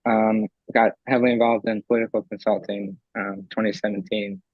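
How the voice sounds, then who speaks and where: Raspy-voiced young man, flat and low. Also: brisk, no echo, muffled recording. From Eastern Pennsylvania. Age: young adult. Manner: eager, many ums and restarts. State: raspy from screaming. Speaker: convention delegate and law student. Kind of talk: remote interview.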